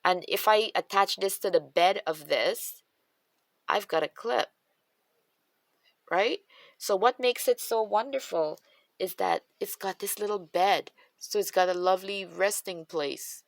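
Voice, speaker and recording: A woman's voice: mid-range voice, measured pace, creaky, no echo. A woman with a Guyanese accent, chatting casually as she shows off her craft supplies and thinks aloud with trailing restarts. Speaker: craft channel host.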